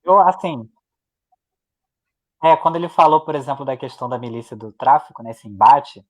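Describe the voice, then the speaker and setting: A man's voice: mid-range voice, moderate pace, dull audio. A man, co-hosting a live online discussion and driving his points home by repeating them. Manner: emphatic, repeating points for weight. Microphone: video call.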